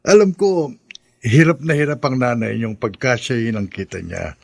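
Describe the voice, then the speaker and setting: An actor playing a persistent, smooth-talking widowed shopkeeper in a Filipino radio drama, coaxing and hesitant, with a husky, gravelly voice. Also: male voice, medium pitch, moderate pace, darkish tone, no echo, clean studio sound. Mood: ingratiating.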